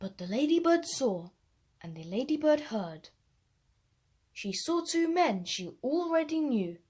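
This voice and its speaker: A woman storyteller reading a rhyming children's story aloud, expressive, with deliberate emphasis. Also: high voice.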